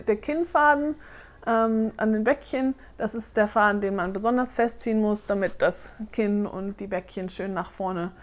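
A woman in her thirties from Germany, chatting casually on camera in a question-and-answer vlog and often pausing with fillers as she searches for words. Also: mid-range voice. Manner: chatty, hesitant with frequent fillers. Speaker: doll-making vlogger. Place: living room, seated on a sofa.

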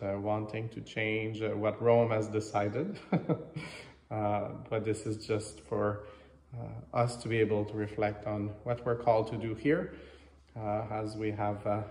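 French canadian priest guy, native french speaker, pretty flat delivery, halting homily, lots of reverb. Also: lowish voice. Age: 30s to 40s. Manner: halting, reflective, with many pauses. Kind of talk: informal homily. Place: small chapel, indoors. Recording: echoey, reverberant chapel sound.

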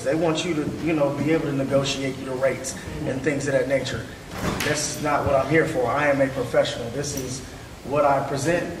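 A man's voice: mid-range voice, background noise. A man in his thirties on a panel, speaking earnestly and conversationally about his barbering work, with many fillers. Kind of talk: panel discussion. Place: large hall with seated audience. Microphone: far camera. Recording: echoey hall from far off.

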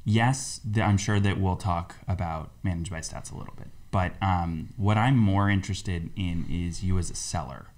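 Deep-voiced guy, podcast host, easygoing, um-heavy, studio desk mic. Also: normal speed, hardly any pauses. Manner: friendly, loose, frequent ums and laughs. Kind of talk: casual interview chat. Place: small dim studio. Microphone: desk mic on boom arm.

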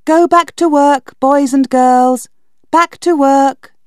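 A flock of singing birds making school announcements in a high, lilting sing-song. A woman reader puts them on, raising her voice well above her narration. The delivery is dry and close. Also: steady tone, slow pace, no room sound. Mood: announcing.

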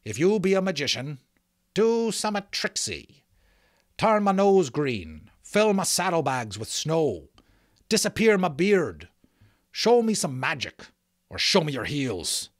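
Man putting on a gruff, booming outlaw giant. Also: big pitch swings, moderate pace, no room sound, studio quality. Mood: taunting. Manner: rustic dialect speech. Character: huge gruff good-natured outlaw giant, rough and bantering.

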